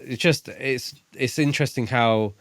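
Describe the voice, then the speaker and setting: A man co-hosting a podcast, chatting casually about books in a tentative, halting way as he thinks aloud. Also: medium pitch, fairly bright tone, no echo, clean studio sound. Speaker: podcast co-host. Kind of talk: casual book discussion chat.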